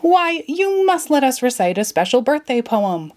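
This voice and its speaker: Woman doing an excitable, squabbling storybook twin brother. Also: sits high, moderate pace, pitched above the performer's own voice. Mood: excited. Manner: insistent, shouting.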